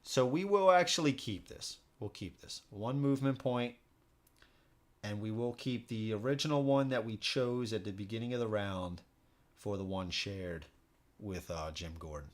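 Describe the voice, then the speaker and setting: A man hosting a solo board game stream, talking informally, thinking out loud and often repeating himself as he plays. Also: fairly low pitch, moderate pace. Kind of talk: casual live stream commentary while playing.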